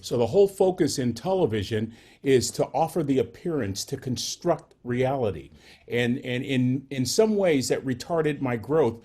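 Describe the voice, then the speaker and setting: A man's voice: mid-range voice, animated, moderate pace, dark tone. Folksy man in his sixties. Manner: folksy, self-deprecating. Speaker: panelist, journalist. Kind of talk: panel discussion.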